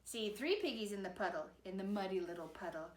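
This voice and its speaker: A woman's voice: mid-range voice, brisk, roomy sound, a little hiss or hum. A woman narrating a rhyming children's story in a playful, rhythmic singsong. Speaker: storybook reader.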